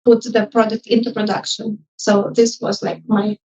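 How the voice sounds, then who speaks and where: A woman's voice: mid-range voice, flat delivery, normal speed, a little mellow. A woman who is not a native English speaker, giving informal feedback from the audience in a hesitant, tentative, apologetic way. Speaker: audience member, junior UX designer. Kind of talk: informal spoken feedback and questions. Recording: muffled live event audio, distant mic.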